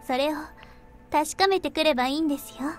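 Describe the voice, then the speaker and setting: An actress voicing a gentle, kindly young woman in a Japanese visual novel, speaking earnestly and reassuringly. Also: sits high, racing, faint music behind, lightly acted.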